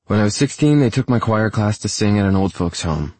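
An audiobook character performed by a man: a bubbly, oddball young woman who gushes and second-guesses herself. The pitch is lifted well up, with a husky grain and a very dry, close sound. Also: expressive, brisk, darkish tone, dry recording. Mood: playful, chatty.

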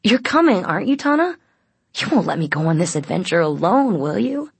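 Eager teen boy, read by a woman narrator, wheedling, raised pitch, husky edge, for a story. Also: animated, normal speed, no echo, full character voice. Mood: pleading. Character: eager, pleading teenage boy in a vampire story.

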